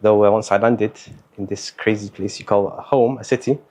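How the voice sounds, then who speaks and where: Young man, low voice, relaxed. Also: moderate pace. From Somali, born in the Netherlands, raised in England. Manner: relaxed, hesitant, many fillers, Arabic words mixed in. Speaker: guest, university student. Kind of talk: casual interview chat.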